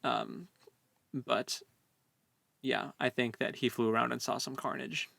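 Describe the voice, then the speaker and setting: A man co-hosting a casual book discussion, speaking in halting, informal phrases. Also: mid-range voice, fairly even intonation, vocal fry, pushed and bright, dry recording. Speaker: podcast co-host.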